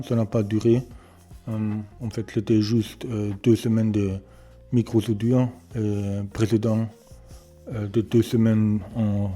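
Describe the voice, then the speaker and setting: Hesitant German man, slow and low-key. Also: mellow. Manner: hesitant, searching for words with many fillers. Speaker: electronics repair beginner presenting his channel. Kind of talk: casual self-introduction and workshop tour. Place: home repair workshop.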